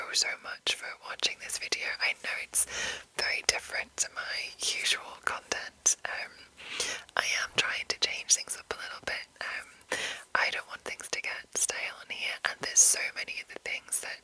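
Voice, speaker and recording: A woman whispering an informal, rambling ASMR explainer as the host, in a breathy, unvoiced voice. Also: unhurried, pushed and bright, poor audio. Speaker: host of ASMR video series on roleplaying games.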